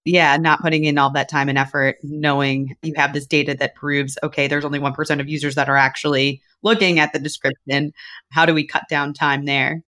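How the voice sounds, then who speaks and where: A woman hosts a podcast interview, asking curious follow-up questions in a relaxed, conversational way. Her voice is bright and forward, and the recording is dry and close, with no room sound. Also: deep voice, a little fast, dry recording. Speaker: podcast host.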